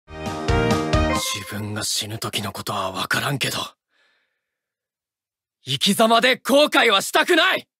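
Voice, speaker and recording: An actor playing an earnest, friendly, hot-blooded teenage boy fighter in an anime game, blunt and heartfelt. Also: on the high side. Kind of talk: Japanese anime game voice acting.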